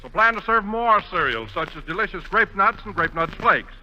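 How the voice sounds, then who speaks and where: A man delivers an old 1940s radio advertisement with polished, emphatic salesmanship. His voice is very bright and forceful, with lively swings in pitch, on a muffled vintage broadcast. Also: normal speed, soft background music. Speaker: radio announcer reading a commercial.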